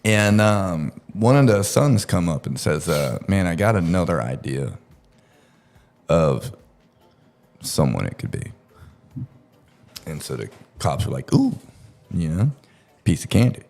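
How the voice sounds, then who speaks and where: A man's voice: low voice, sing-song, measured pace, gravelly, long pauses, darkish tone. A man telling a true-crime story casually, with crude, profane joking and laughter woven in. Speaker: podcast storyteller.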